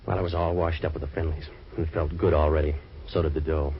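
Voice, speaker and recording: A hard-boiled detective who fires off deadpan wisecracks in a very low voice and a rapid delivery. It has the dull, narrow sound of an old radio broadcast with faint hiss. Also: male voice. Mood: narrating. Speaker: private detective and narrator. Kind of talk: 1940s radio acting.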